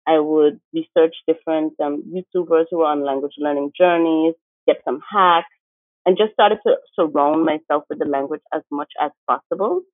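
A woman's voice: low voice, moderate pace, dry recording. A woman from St. Martin, a teacher, speaking earnestly and emphatically as a guest in an interview. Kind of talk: conversational interview. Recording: tinny phone-like line.

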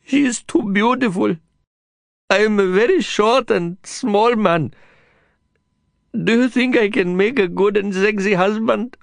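A man's voice: on the high side, measured pace, long pauses, darkish tone, dry recording, voice pushed up. A man voicing a small, cheerful young taxi driver with an Indian English accent and an earnest, sing-song, childlike way of talking. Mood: tearful.

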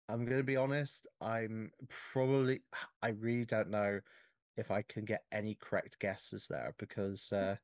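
A man's voice: medium pitch, dry recording. Dry-sounding man in casual conversation. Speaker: podcast speaker. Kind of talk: casual podcast chat.

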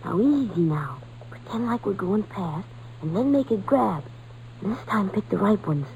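Woman playing a cocky, animated little street boy. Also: mid-range voice, normal speed, highs cut off, close to an everyday voice. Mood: sly, daring. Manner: tough, slangy. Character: bold, scheming seven-year-old street urchin boy. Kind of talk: 1940s radio acting. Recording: old noisy radio broadcast recording.

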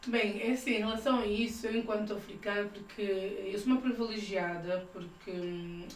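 A woman's voice: mid-range voice, steady tone, normal speed. A woman from São Tomé hosting a podcast in a casual, conversational interview style. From São Tomé, moved to Portugal at ten. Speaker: podcast host. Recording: echoey room, distant mic, faint noise.

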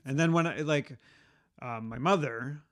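A man of forty from Massachusetts chatting casually with a friend, halting and repeating words as he recalls old dates. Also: medium pitch, a bit bright. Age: 40s. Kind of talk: casual chat.

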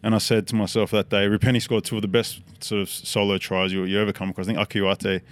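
An Australian man in his thirties, a podcast guest telling his story in a relaxed, rambling way. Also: lowish voice, fast talker. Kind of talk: casual chat.

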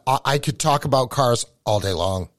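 A man with a New England accent, hosting a podcast and chatting in an animated, casual way, often repeating words as he starts a thought. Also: medium pitch, moderate pace, no echo, clean studio sound. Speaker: podcast host.

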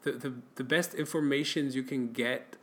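A man's voice: mid-range voice, fairly even intonation, moderate pace, a bit bright. A young man from France chatting casually as a podcast co-host, giving advice with many fillers and restarts. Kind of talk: casual chat.

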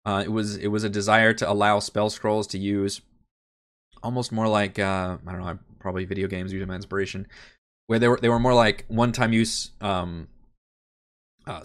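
A man with a somewhat low voice sounds nasal and congested from a head cold. He talks loosely and thinks aloud as a game master on a livestream, with frequent fillers and restarts. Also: a bit bright. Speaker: game master and channel host. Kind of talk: casual livestream Q&A.

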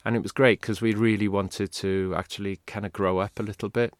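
Low-voiced man, rambling. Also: flat delivery, brisk, no room sound. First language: Welsh. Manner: rambling, restarts, candid. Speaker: guest. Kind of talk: casual interview talk.

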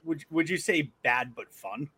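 A man's voice: on the high side, a little slow, bright, forceful tone, slightly rough, no room sound. Male podcast host, slightly raised voice, loose and profane delivery with stumbles. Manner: loose and profane, with frequent restarts. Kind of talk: casual sports chat.

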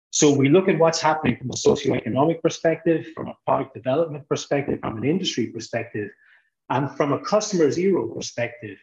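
A middle-aged Irish man answering interview questions in a measured, explanatory way, sometimes restarting a phrase. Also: medium pitch, a little mellow, echoey room, muffled recording. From Ireland. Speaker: guest, technology executive.

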